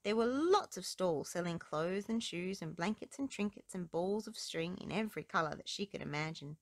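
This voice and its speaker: A woman reads children's stories aloud in a slightly low voice. There is noticeable fry in her tone. The indoor recording is close and dry. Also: animated, moderate pace, dry recording. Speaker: storybook reader. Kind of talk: read-aloud children's story narration.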